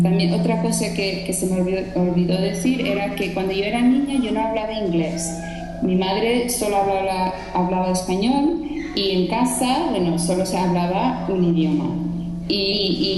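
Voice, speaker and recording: A woman in her thirties from Chicago with an American accent, telling her personal story at a gathering, hesitant, with many restarts and fillers. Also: medium pitch. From Chicago, United States. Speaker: student speaker at a gathering. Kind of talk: personal testimony talk. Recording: echoey hall with long reverb and music.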